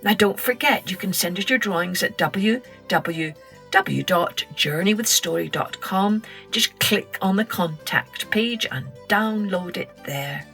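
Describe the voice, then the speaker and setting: Woman reading a kids story, slow n gentle, bright voice, soft music behind. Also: mid-range voice, vocal fry. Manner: gentle, expressive and unhurried. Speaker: storyteller host. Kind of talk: children's story narration.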